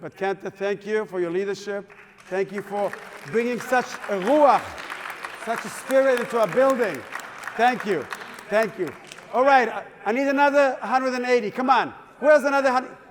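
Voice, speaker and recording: High-pitched guy running a fundraiser, playful and pushy, echoey hall, people murmuring. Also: moderate pace, a little hiss or hum. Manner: coaxing, upbeat, playful. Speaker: fundraising emcee. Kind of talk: live fundraising appeal to a crowd. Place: synagogue hall at an evening event. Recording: reverberant hall with crowd.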